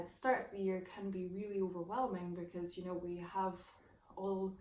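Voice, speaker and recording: A woman leads a gentle voice lesson in a soft, wandering way, her voice still low from the morning. She is heard from a little way off in a room with a clear echo. A faint hum of ambience sits behind her. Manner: soft, rambling and reflective, with many fillers. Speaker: singing and voice teacher. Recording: echoey room, mic at a distance.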